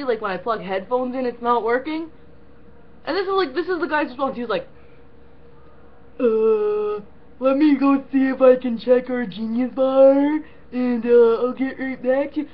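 A young woman co-hosting a casual, profane rant, animated and full of fillers like "um" and "like". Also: sits high, highs cut off. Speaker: co-host. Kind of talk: casual ranting chat.

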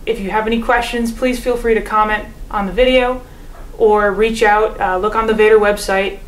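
A young woman calmly and patiently explaining a percussion technique, recorded at home by a camera a few feet away. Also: medium pitch, moderate pace, reverberant, poor audio. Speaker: percussion teacher. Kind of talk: instructional demonstration. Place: living room at home.

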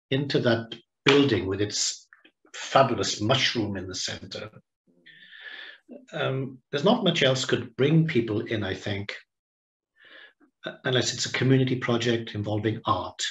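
A man in his sixties giving a reflective seminar talk over a video call from home, pausing and restarting as he thinks. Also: lowish voice, fairly bright tone. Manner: reflective, with many pauses and restarts. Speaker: guest speaker. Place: home room, indoors. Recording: roomy echo on a video call.

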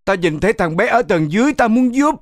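A man voicing a hapless, excitable grey wolf in a children's cartoon, dramatic and exclaiming. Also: high-pitched, quick pace, no room sound, studio quality. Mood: pleading, flustered. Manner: exclaiming, dramatic, stammering when flustered.

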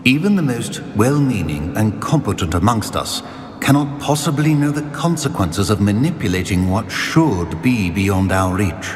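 A man's voice: lowish voice, very animated, normal speed. An actor playing a cautionary male wizard in an enchanted portrait for a video game, in long reverb. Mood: grave warning. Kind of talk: cinematic video game acting. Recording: reverberant hall, faint ambient noise.

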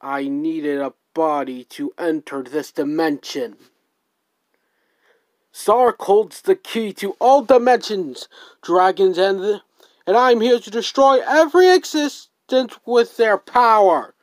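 Menacing villain king guy, woman doing the voice, low, slow, heavy, dry mic. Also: no echo, natural voice. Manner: slow, heavy, threatening delivery. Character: menacing male demon king villain, cold and grand.

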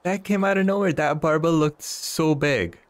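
A man's voice: a little high, moderate pace, no echo, faint music behind. A man in his early thirties streaming a fishing game, chatting and teasing his viewers with frequent chuckles. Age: 30s. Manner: chuckles often, teasing banter with chat. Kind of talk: casual live-stream chat while gaming.

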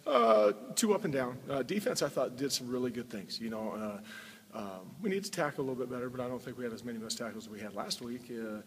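A man in his forties, a college football head coach, giving a halting postgame interview indoors and repeating his words often. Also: mid-range voice, animated, husky. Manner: halting, repeats words, many filler sounds. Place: indoor room before a sponsor backdrop. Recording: long room reverb with faint hiss.

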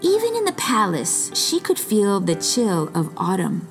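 A woman reading a children's story aloud expressively, with dramatic pauses and stretched words. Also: medium pitch, huge pitch swings, soft background music. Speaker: storybook reader.

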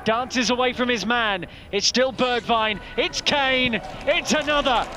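A man giving excited, emphatic live football match commentary as a commentator. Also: very high-pitched, measured pace, slight background noise. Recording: broadcast over crowd roar and music. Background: stadium crowd, music.

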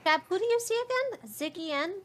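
High, animated woman in game chat. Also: a little fast. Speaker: player in a group online game.

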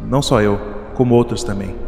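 A man playing a gentle, affectionate captive resistance fighter in an audio drama, soothing and familiar in manner. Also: fairly low pitch, steady tone, fairly quick, natural voice. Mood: calm, affectionate. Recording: echoey reverb with music behind.

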